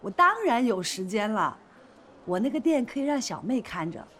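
An actress playing a pushy, flirtatious shop owner in a screen drama, insistent, teasing and quick to take offence. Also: female voice, on the high side, sing-song, fairly bright tone. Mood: eager. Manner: insistent, teasing, indignant, theatrical. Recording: noticeable background noise and ambience.

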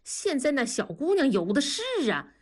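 A woman voicing a blunt, rough-talking middle-aged man, teasing and scolding his friend. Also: fairly low pitch, big pitch swings, normal speed. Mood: incredulous.

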